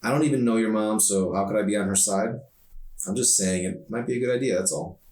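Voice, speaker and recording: A man's voice: fairly low pitch, fast, a little mellow, natural voice. A man voicing a guarded, awkward, lonely teenage boy in understated storybook dialogue. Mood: defensive. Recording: roomy echo, distant microphone.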